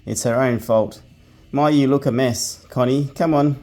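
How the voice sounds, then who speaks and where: Guy voicing a bossy kid in a bedtime story, a bit louder and higher, mellow. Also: steady tone, unhurried, close to an everyday voice. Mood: brisk. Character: cheerful, bossy young boy.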